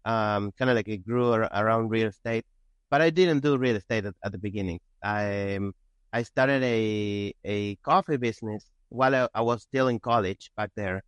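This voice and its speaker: Man, Argentine accent, native Spanish speaker, smooth mid-pitched voice, casual interview guest, halting phrasing, dry sound. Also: no echo. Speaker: podcast guest, entrepreneur.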